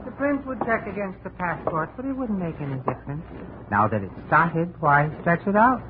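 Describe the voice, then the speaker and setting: A man's voice: high-pitched, sing-song, normal speed. An actor playing an evasive, wry man on the run in a casual, hesitant manner, in 1950s radio drama style. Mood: resigned. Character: evasive male fugitive, wry and resigned. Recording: faintly noisy, tinny old radio recording.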